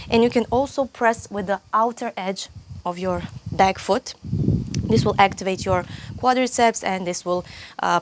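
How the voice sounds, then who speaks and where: Brief: young Croatian-accented woman, yoga teacher, patient step-by-step cues, lapel mic outside, faint hiss. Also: medium pitch. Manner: patient, step-by-step cueing with frequent restarts. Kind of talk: yoga tutorial instruction. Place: sunny outdoor stone terrace. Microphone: clip-on lapel mic.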